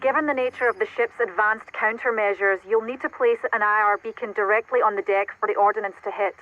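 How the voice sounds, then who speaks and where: An actress playing a precise, formal military intelligence officer, giving businesslike briefings over the radio in a video game. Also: female voice, medium pitch, fairly even intonation, fast. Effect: radio transmission filter. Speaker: intelligence and operations support officer. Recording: dull band-limited radio sound, faint noise.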